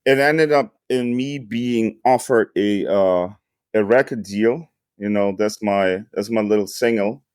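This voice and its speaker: A man's voice: fairly low pitch, no room sound, clean studio sound. A man in his fifties from the Hamburg region, a native German speaker, casually telling his story in slangy, joking English as a podcast guest. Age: 50s. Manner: laid-back American slang, joking.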